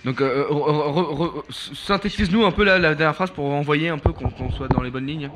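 A man's voice: fairly high pitch, fairly quick, fairly bright tone. A man hosting a group discussion, speaking informally as he mediates and rephrases what others say. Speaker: host.

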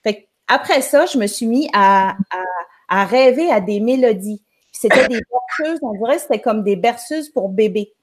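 A woman in her forties with a Québécois French accent, speaking in a somewhat high voice. She tells a long personal story in a chatty, rambling way, full of restarts. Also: animated, moderate pace. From Quebec. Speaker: guest, music teacher. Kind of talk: casual storytelling on a live show.

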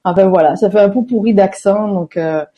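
Woman guest therapist, slight Quebec accent, mellow tone, eager and a little halting. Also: medium pitch, highs cut off. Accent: traces of Quebec French. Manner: eager and enthusiastic, a bit halting. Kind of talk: interview answers.

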